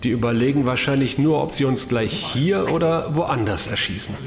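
A man's voice: mid-range voice, normal speed, gravelly, a little hiss or hum, natural voice. A man playing a tense, grimly commanding fugitive in a science-fiction audio drama, speaking in clipped, urgent orders. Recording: echoey hall reverb, loud music.

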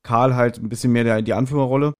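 A man's voice: mid-range voice, steady tone, quick pace, a little mellow. A man with speech co-hosts a podcast, explaining his points casually and colloquially and checking for agreement. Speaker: podcast co-host.

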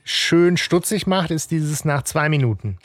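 A man's voice: fairly high pitch, lively intonation, measured pace, no room sound. A man hosting a fan podcast who recaps the facts of a radio-play case in casual chat, often tagging his sentences with "ne?". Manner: recaps plot facts with frequent "ne?" and "äh". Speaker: podcast host.

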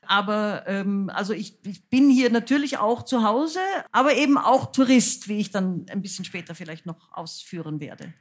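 A woman's voice: mid-range voice, lively intonation, moderate pace, few pauses. Woman guest on a stage, frank and self-mocking, chatty with many fillers. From United States. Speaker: guest singer and author. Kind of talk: stage talk before a live audience. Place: cultural centre stage with audience.

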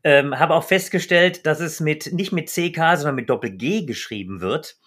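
A man's voice: on the high side, normal speed, fairly bright tone. A man co-hosting a podcast, talking enthusiastically with frequent hesitation sounds. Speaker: podcast co-host. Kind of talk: podcast conversation.

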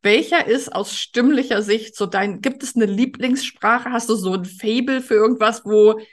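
A woman's voice: fairly high pitch, moderate pace. A woman hosting a podcast interview in a chatty, conversational way, speaking directly to her guest and listeners.

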